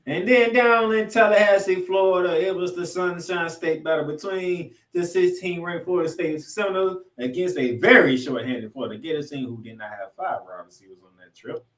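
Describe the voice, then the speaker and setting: A man's voice: sits high, fairly quick, few pauses, fairly bright tone. Echoey, emphatic male sports podcast host. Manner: emphatic, showman-like delivery with dramatic announcements. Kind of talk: animated sports recap commentary. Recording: echoey room, distant from mic.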